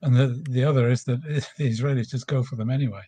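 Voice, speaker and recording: A middle-aged man gives his opinion in a casual way on a video-call panel. His voice is medium in pitch and noticeably husky. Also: steady tone, fast talker, warm tone. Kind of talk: casual discussion. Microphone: video call microphone.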